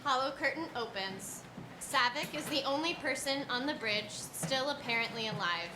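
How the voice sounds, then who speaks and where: A woman with a slightly high voice reads stage directions as scripted narration. The room is echoey, with clear noise behind the voice. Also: expressive, normal speed.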